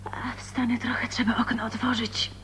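An actress playing a lonely, dreamy young woman musing to herself in a breathy, intimate radio drama monologue. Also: fairly high pitch, level tone, normal speed, fairly bright tone, whispered. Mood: wistful. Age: young adult. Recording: dull old radio recording with hum.

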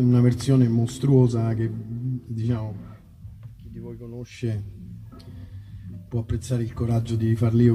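A man's voice: mid-range voice, warm tone. Distant, reverberant male musician on stage. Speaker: musician performing a concert. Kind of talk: casual between-song stage talk. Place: outdoor live festival concert in a park. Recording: distant live concert, long reverb, music behind.

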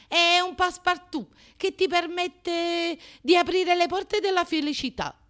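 A woman's voice: sits high, fairly bright tone. An actress playing a flamboyant, passionate, self-mocking Neapolitan woman in a radio monologue, with a confiding, emphatic and colloquial manner and a Neapolitan accent. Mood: flirty, boastful. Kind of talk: radio drama comic monologue.

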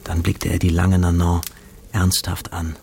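A man acting as literary narrator of a radio drama, reading scripted prose in a measured, gravelly voice. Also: deep voice, monotone, darkish tone, slight background noise. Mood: composed.

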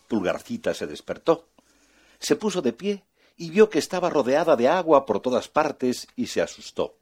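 Expressive husky storyteller man. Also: lowish voice. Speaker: narrator. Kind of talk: children's storytelling.

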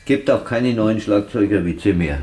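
A man's voice: on the low side, unhurried. A man, a band musician, chatting casually in a grumbling, joking way. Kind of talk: casual banter.

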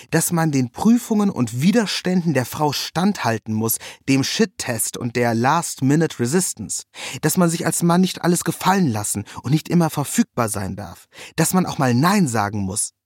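A man's voice: fairly high pitch, no echo, studio quality. A man reading a scripted first-person literary narrative as its narrator.

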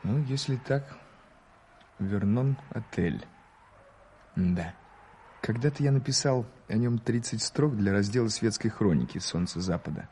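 An actor playing a curious, ironic journalist in a 1980s Soviet radio play, telling things in an emphatic, declamatory manner. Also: male voice, on the low side, a little slow, long pauses, mellow, lightly acted. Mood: musing, wry. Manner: theatrical, declamatory, emphatic storytelling. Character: Mr March, curious, ironic gentleman journalist, eager raconteur. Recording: hissy old radio drama tape.

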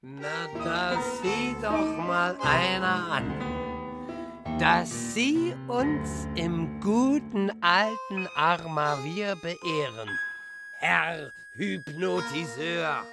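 An actress in a radio play playing a smug accuser who taunts and bosses a captive. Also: female voice, on the low side, lively intonation, extremely slow, vocal fry, exaggerated voice. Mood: mocking, triumphant. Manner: mocking, taunting, self-assured. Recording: loud music over the voice.

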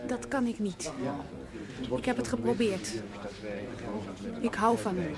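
TV drama: proud young secretary, defiant outsider, slightly high voice, direct, loud crowd around. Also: female voice, measured pace, creaky. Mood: strained, pleading. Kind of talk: television drama acting.

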